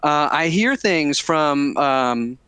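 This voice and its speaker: Hesitant man on a higher voice, dry. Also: lively intonation, slow, sharp tone, smooth, no echo. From born in Germany, raised in the United States. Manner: hesitant, many fillers and restarts. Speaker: panel speaker. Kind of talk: reflective panel discussion remarks.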